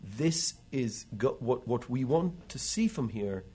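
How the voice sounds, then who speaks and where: A man giving political commentary as a guest, halting as he goes and stressing words emphatically. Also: mid-range voice, expressive, mellow, breathy edge. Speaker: interview guest.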